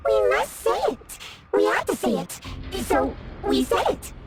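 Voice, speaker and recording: An actress voicing an eerie collective servant voice in a video game, swinging between mournful and giggling and repeating herself. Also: female voice, very high voice, huge pitch swings, a little slow, heavily acted. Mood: manic. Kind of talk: video game cutscene voice acting. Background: loud game music.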